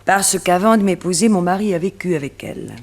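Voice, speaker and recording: A proud widow under suspicion in a radio mystery play. Her voice is slightly low, and her delivery is cool, dignified and guarded, with a curt defensive edge. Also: a little fast. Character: proud, defensive female circus sharpshooter, recently widowed.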